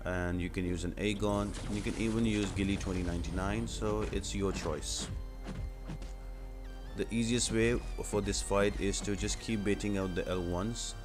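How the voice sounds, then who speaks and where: A man's voice: fairly low pitch, steady tone, moderate pace. A man giving casual, explanatory video game guide commentary, speaking directly to viewers as the host. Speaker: host of a gaming guide channel. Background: music behind the voice.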